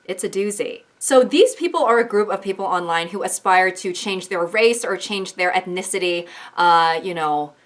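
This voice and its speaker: A woman's voice: fairly low pitch, normal speed, hardly any pauses. A woman hosting a casual commentary vlog, chatty and sarcastic. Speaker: YouTuber.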